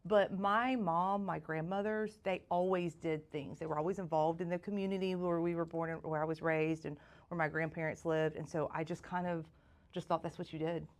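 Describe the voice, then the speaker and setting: A woman talks in a relaxed, chatty way. Her voice sits somewhat low, and she tells her story with a dry, self-mocking touch. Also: moderate pace. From South Georgia, US. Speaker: chamber of commerce president, guest. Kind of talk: casual podcast conversation.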